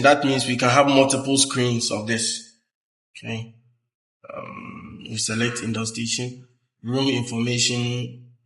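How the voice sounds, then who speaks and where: A man presenting a hands-on technical tutorial, explaining step by step in a halting way. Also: mid-range voice, fairly bright tone. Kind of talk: hands-on instructional walkthrough. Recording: roomy echo, uneven distance from mic.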